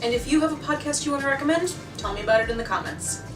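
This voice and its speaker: High-voiced American woman vlogger, roomy sound. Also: animated, very fast. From United States. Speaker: video host. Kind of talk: scripted recommendation vlog, casual chat. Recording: roomy, distant mic, music behind.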